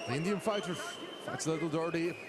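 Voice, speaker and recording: A man with a Turkish accent giving live play-by-play of a boxing fight, rising to shouts at big moments. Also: fairly high pitch, fast talker. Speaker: fight commentator. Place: boxing arena. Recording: loud arena crowd and music behind.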